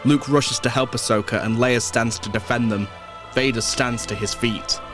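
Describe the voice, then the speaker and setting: A man narrates an audio drama from a script, describing the action as it unfolds. His pitch is average for a man, and prominent music plays behind him. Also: steady tone, normal speed.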